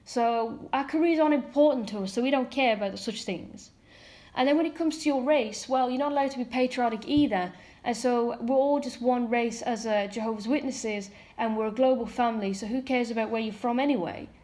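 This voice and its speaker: A woman from Ireland talks to camera in a loose, unscripted monologue. Her voice sits a little high, and she drifts between earnest points and scoffing asides, padding her speech with fillers as she thinks aloud. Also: brisk, darkish tone. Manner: candid, frequent fillers and mocking asides. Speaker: ex-member commentator. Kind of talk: casual monologue commentary.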